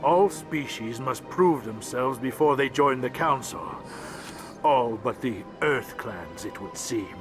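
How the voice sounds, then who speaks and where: Grumpy pompous alien ambassador, video game, always put out, music under it. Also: male voice, mid-range voice, expressive, slow. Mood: resentful. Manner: pompous, aggrieved, dismissive. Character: grumpy, resentful male alien ambassador of a small merchant species. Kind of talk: video game character dialogue. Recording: music behind the voice.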